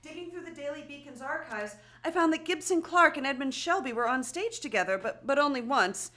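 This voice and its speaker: A woman's voice: sits high, lively intonation, fairly quick, bright, forceful tone. A woman playing an efficient, businesslike police clerk sidekick in a live radio play. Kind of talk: live radio theatre acting. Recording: echoey, distant stage pickup.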